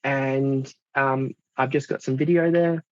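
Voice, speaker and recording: An Australian man in his thirties giving a club presentation, halting with many fillers. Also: mid-range voice, fairly even intonation, no echo. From South Australia. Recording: dull dry lo-fi sound.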